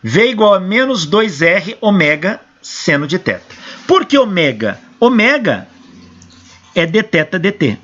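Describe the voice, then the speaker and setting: Hoarse Brazilian man lecturing. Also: high-pitched, big pitch swings, measured pace, a bit bright. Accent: Brazilian Portuguese. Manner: explains step by step, asks and answers his own questions. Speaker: engineering professor. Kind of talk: lecture working through equations. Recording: dull lo-fi recording.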